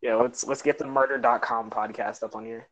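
A man's voice: mid-range voice, steady tone, fairly quick, a bit bright. A man co-hosting a casual podcast chat, laughing often and stumbling over repeated words as he starts sentences. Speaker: podcast co-host. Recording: dull lo-fi audio.

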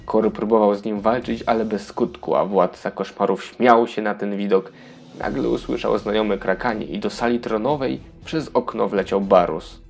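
A man reads a children's tale as narrator. His voice is on the low side and gravelly, over faint background music. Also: normal speed. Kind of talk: scripted children's storybook narration.

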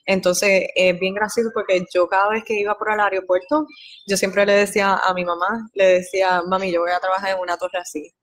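A woman's voice: mid-range voice, flat delivery, a little fast. A young woman, an air traffic controller guest chatting casually and slipping in English words. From born in Guatemala, raised in Puerto Rico. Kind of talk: casual interview chat.

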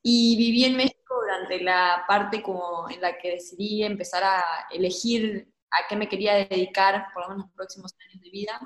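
A woman's voice: medium pitch, fairly even intonation, moderate pace, few pauses. Argentine woman in an echoey room. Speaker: podcast guest, urban farming project co-founder. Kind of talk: casual conversational interview. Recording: roomy, echoing sound.

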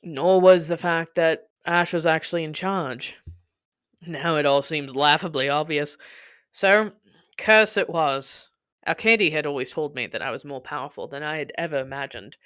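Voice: medium pitch, normal speed, fairly bright tone, clear, resonant tone, dry recording, studio quality. A podcast host reading a scripted personal narrative aloud with dramatic, emphatic delivery.

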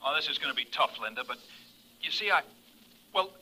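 An actor playing an awkward, guilt-ridden fiancé on the telephone in a 1940s radio drama, halting and apologetic, with a husky voice. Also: male voice, fairly high pitch, expressive, pushed and bright, heavily acted, poor audio. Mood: nervous, apologetic.

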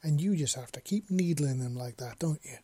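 A man plays a female machine in a sci-fi storybook. She is sarcastic, scolding and protective, pitched a bit up, with a dry, close sound. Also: moderate pace, mellow, breathy edge, dry recording. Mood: angry, sarcastic.

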